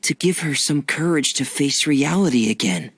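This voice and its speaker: A man voicing a gentle, reserved young adventurer in a video game, soft and breathy, earnest and supportive. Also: on the high side, animated, moderate pace, no echo. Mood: gentle, encouraging.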